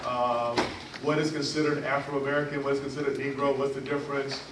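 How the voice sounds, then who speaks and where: A man's voice: medium pitch, little pitch movement, brisk. A man chairing a community board meeting, reading out his report with many fillers and restarts. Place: meeting room. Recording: echoey, distant, noisy room recording.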